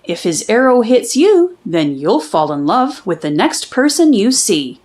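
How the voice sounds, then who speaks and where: A woman's voice: mid-range voice, big pitch swings, a little slow, darkish tone. A woman voicing an affectionate, teasing father in a children's storybook, explaining things playfully.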